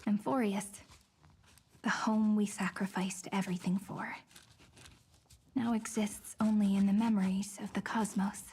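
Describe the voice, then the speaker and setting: An actress playing a gentle, playful young female sage in video game dialogue, with a soft, poetic storytelling manner. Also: mid-range voice, steady tone, a little slow, long pauses, slight background noise, lightly acted. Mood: solemn tender. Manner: soft, breathy, teasing, storytelling. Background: soft game music.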